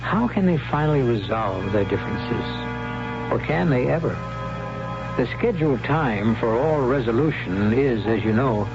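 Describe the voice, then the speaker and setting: A man hosting and narrating a 1970s radio drama in a theatrical, deliberate, portentous style. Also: mid-range voice, lively intonation. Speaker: host and narrator. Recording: muffled 1970s radio recording, loud music behind. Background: loud music.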